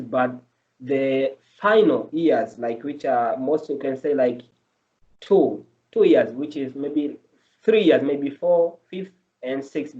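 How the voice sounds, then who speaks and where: A man's voice: on the high side, mellow. A man answering interview questions in English, his second language, earnest and repeating himself as he explains. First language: not English. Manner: earnest, repeats and restarts phrases. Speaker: medical student guest. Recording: dull lo-fi audio.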